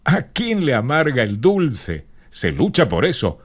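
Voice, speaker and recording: An actor playing a suave, candid male film star answering in a dramatized magazine interview, with measured, courtly phrasing. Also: mid-range voice, wildly swooping pitch.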